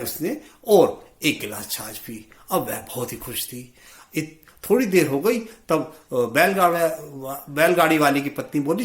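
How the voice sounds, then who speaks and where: Animated, high-pitched man telling a story. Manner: expressive, colloquial, acts out dialogue. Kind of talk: animated moral storytelling in Hindi. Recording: roomy, echoey sound.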